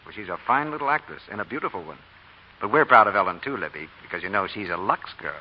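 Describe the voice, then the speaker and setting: A man's voice: fairly low pitch, lively intonation, fast. A polished American man announcing and trading scripted banter in theatrical 1940s radio style. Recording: muffled 1940 radio broadcast, faint hiss.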